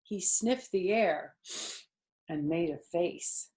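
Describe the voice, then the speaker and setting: A woman's voice: on the low side, takes it slowly, a little mellow. A woman storyteller reading a children's book aloud expressively, with chatty asides to young listeners.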